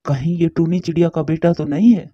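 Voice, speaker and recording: A man voicing a kindly, calm grown male bird in a cartoon story, speaking in a reassuring, avuncular way. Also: fairly high pitch, brisk, husky, no room sound, lo-fi sound. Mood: kindly, curious. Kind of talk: Hindi cartoon story character voice.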